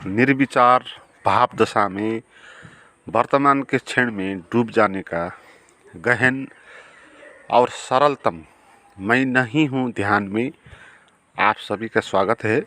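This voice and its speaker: A man guiding a meditation in a calm, unhurried way, with long pauses between short phrases. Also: on the low side. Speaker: meditation guide. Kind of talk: guided meditation.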